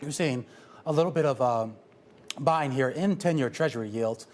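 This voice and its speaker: A man's voice: mid-range voice, normal speed. A man co-anchoring a live markets show, talking through charts in a loose, conversational way with fillers. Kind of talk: market news analysis.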